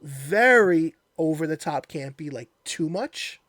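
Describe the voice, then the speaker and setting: A man hosts a casual interview in a voice pitched a little high. He is agreeable and conversational. The sound is very dry, with no audible room. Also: animated, slow pace, a bit bright, dry recording. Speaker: podcast host.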